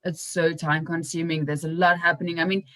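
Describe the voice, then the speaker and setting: A young woman with a slightly low voice chats casually as a podcast host. She is informal and anecdotal, and the sound is dry with no room echo. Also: little pitch movement, fairly quick, bright, forceful tone. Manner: informal, acts out remembered remarks.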